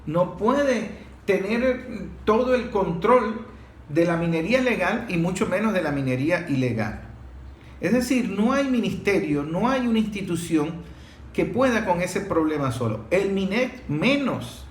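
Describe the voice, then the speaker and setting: A man's voice: high-pitched, animated, unhurried. A Venezuelan man speaking off the cuff in an analytical discussion, explaining and arguing his points emphatically. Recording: roomy echo, faint background noise.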